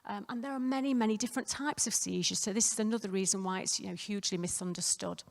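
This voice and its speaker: A woman nurse presents at a conference in a croaky, gravelly voice. She talks conversationally, with plenty of fillers and restarts. Her voice carries a long reverb through a hotel conference room. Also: mid-range voice, fast. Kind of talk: conference talk.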